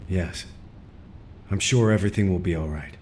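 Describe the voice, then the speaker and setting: A man's voice: deep voice, normal speed, a little mellow, slight background noise, natural voice. An actor voicing a patient, reassuring young male mentor in a game cutscene.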